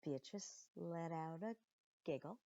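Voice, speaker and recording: A woman reading a children's story aloud expressively, with deliberate dramatic pauses and chatty asides to young listeners, like a teacher. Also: on the low side, dark tone, no echo, muffled recording.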